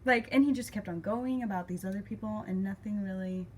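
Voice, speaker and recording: A woman's voice: mid-range voice, brisk. A young woman telling a personal story casually and emotively, acting out remembered conversations as she goes. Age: young adult. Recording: clear background noise.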